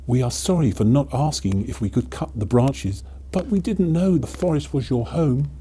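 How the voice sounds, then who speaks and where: A man's voice: medium pitch, animated, moderate pace, warm tone, a little hiss or hum, voice pushed up, natural voice. A man voicing a toymaker's earnest, pleading wife in a children's storybook.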